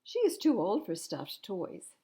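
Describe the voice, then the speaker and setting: A woman's voice: mid-range voice, wildly swooping pitch, measured pace, dark tone. Lady reading as an earnest little storybook boy, kinda hesitant, voice goes up and down a lot. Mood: hesitant, evasive. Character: young boy Arthur, hesitant seller attached to his bear.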